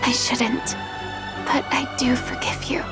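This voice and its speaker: A woman's voice: sits high, little pitch movement, takes it slowly, loud music behind the voice. An actress playing an earnest, composed young female defender in a video game cutscene, speaking seriously and frankly. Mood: sincere, restrained.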